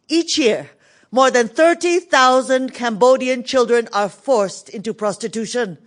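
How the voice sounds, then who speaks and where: An actress playing a dignified, resolute Cambodian government minister in a dramatic radio theatre monologue. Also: female voice, on the high side, close to an everyday voice. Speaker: actress in an audio play.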